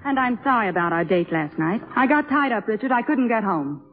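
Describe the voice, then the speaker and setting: An actress playing a bitter, brittle young wife in a 1950s radio drama. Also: female voice, fairly high pitch, lively intonation, a little fast, soft background music. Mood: brittle, airy. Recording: muffled vintage radio broadcast.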